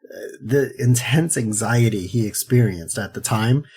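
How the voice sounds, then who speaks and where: A man in his thirties co-hosts a casual podcast in a husky voice. He jokes around goofily and then reads through background facts. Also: medium pitch, measured pace, darkish tone. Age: 30s. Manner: goofy, joking. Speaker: podcast co-host. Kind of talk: casual chat and reading history.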